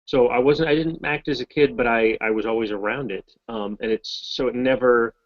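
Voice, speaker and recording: A voice actor from Massachusetts answering fan questions in a casual, rambling, self-deprecating way over a video call. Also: male voice, medium pitch, steady tone, brisk, no room sound, muffled recording. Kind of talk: casual Q&A chat.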